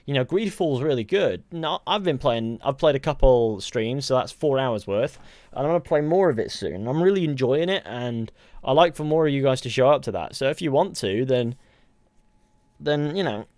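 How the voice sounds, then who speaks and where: A man's voice: mid-range voice, expressive, normal speed, a little mellow, no room sound. A tired man from the UK with a mixed Mancunian and Southern English accent, chatting casually on a live game stream, rambling and joking as he answers chat. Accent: mix of Mancunian and Southern English. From United Kingdom.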